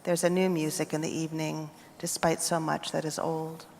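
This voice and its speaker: An American woman poet reading her poems aloud at a festival, with measured, deliberate phrasing and pauses between lines. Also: low voice, little pitch movement, gravelly, darkish tone, slight background noise. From United States. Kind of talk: poetry reading. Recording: reverberant hall.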